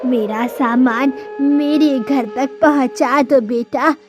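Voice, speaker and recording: A woman voicing a kindly, frail, lonely old village woman in a cartoon, gentle and motherly. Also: high voice, fairly even intonation, slow pace, over music. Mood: entreating. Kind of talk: Hindi moral-story cartoon dialogue.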